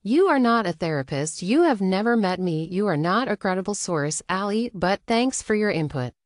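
A woman playing a firm, curt, unbothered woman in a measured, matter-of-fact reading of text messages. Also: medium pitch, expressive, no echo, studio quality, lightly acted. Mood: dismissive.

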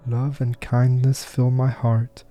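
A man leading a guided meditation with affirmations, soft-spoken and soothing, leaving unhurried pauses. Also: mid-range voice, monotone, soft background music.

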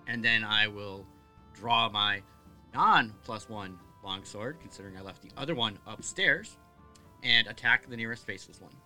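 A man's voice: fairly low pitch, moderate pace, bright, forceful tone. A man playing a tabletop fantasy game, casually declaring his character's actions. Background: background music.

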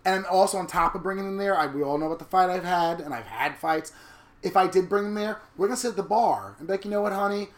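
A man in his thirties co-hosting a candid casual chat, blunt and animated. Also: high voice, quick pace. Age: 30s. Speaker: podcast co-host. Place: New York.

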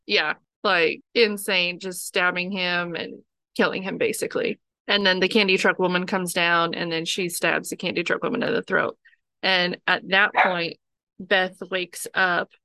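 A young adult woman co-hosting a podcast, briskly summarizing a film plot in casual chat. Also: on the low side, little pitch movement, creaky, bright, forceful tone, no echo. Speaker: podcast co-host.

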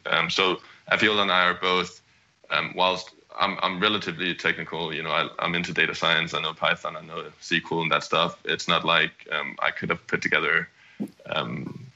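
Young male startup founder from Denmark, low pitch, halting delivery, lo-fi recording. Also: bright, forceful tone. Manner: halting, often restarts phrases. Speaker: startup CEO guest. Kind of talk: conversational interview answer.

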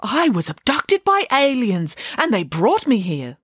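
A woman's voice: fairly high pitch, huge pitch swings, unhurried, breathy edge, no echo, studio quality, voice pushed up, full character voice. A woman voicing a naive, whiny little puppet boy from a children's storybook, childlike and wailing in manner. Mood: fibbing, flustered.

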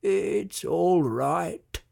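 A narrator voicing Barney, a gentle, kind sheep friend, speaking softly and quietly. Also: medium pitch, very animated, slow, creaky, voice pushed up, exaggerated voice. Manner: soft, kindly and quiet.

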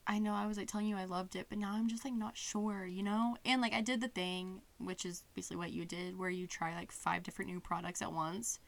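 A woman's voice: mid-range voice, steady tone, creaky. A woman co-hosting a podcast, chatting casually and chiming in agreeably. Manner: agreeable, quick to chime in. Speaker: podcast co-host. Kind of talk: casual chat.

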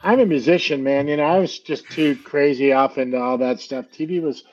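Man, musician guest, rambling and jokey, slightly high voice, dull remote sound. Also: brisk, darkish tone, a little hiss or hum. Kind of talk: casual chat.

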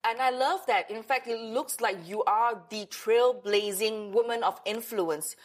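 A woman's voice: a little high, unhurried. A woman hosting a talk show, introducing and questioning her guest in an upbeat, promotional manner. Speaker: host.

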